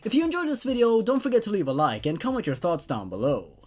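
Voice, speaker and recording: A man's voice: high voice, wildly swooping pitch, fast, a little mellow. A man hosting a horror story series, giving casual, enthusiastic commentary to listeners in an effusive, emphatic way. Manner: effusive, emphatic, repeats words for stress. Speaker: host and reader of a creepypasta series.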